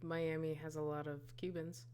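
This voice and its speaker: A woman from Milwaukee co-hosting a casual chat, speaking in a calm, matter-of-fact way. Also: low-pitched, moderate pace, slight background noise. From Milwaukee, USA. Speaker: co-host.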